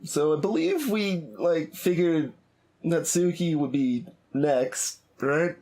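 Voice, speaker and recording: A man's voice: on the high side, lively intonation, slow pace, darkish tone. A man doing casual gameplay commentary as a streamer, thinking aloud in a hesitant way with stammers and repeated phrases. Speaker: gaming streamer doing a blind playthrough. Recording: game music behind the voice. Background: video game music.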